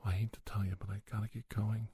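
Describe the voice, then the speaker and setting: A middle-aged man giving soft-spoken, encouraging, folksy advice in an ASMR role-play, often slipping into a whisper. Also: lowish voice, flat delivery, quick pace, breathy edge. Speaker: podcast host playing an advice-giving doctor. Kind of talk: ASMR, one-sided role-play conversation.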